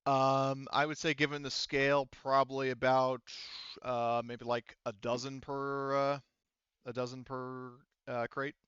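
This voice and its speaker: A man speaking casually and haltingly as the game master of a tabletop roleplaying game. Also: mid-range voice, level tone, a bit bright, clean tone, no room sound, dull audio. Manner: halting, informal.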